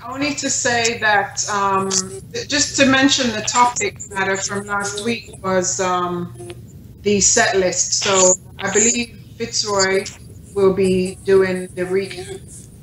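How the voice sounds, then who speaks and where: A woman's voice: mid-range voice, slow pace, echoey room, crowd noise, rough recording. A woman on a talk show panel making a brief, hesitant remark.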